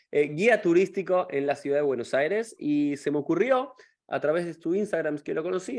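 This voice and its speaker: Argentine podcast host with long rambling questions. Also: male voice, a little high. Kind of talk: interview podcast hosting.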